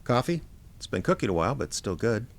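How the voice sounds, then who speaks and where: An actor playing a laconic small-town private investigator, narrating his case in a deadpan, understated radio drama style. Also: lowish voice, brisk, vocal fry. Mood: quiet, probing. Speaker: narrator and lead character.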